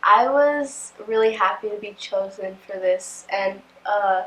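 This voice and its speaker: A woman's voice: medium pitch, unhurried, fairly bright tone. A woman from Guatemala who acts in the film, giving short answers with a giggle. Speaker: actress in the film. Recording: roomy, distant.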